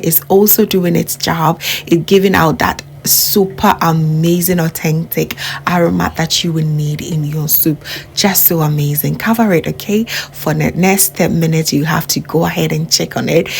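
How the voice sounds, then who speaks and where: Lively Ghanaian woman hosting a cooking show. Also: on the low side, vocal fry, a little hiss or hum. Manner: enthusiastic, repeats words for emphasis, addresses viewers as family. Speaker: cooking channel host. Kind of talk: cooking tutorial voice-over.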